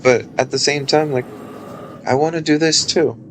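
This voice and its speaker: A man in his thirties chatting casually on a live stream, loose and rambling with lots of fillers and a low speaking voice. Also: steady tone, moderate pace, a little hiss or hum. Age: 30s.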